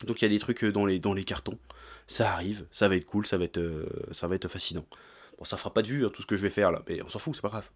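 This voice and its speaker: A man's voice: sits low, fast. A young man talks casually and slangily to camera, hesitating often, like a channel host giving an update. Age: young adult. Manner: informal, slangy, frequent hesitations. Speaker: YouTube manga and anime channel host. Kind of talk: casual vlog chat to camera. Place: small indoor room with shelves of figurines.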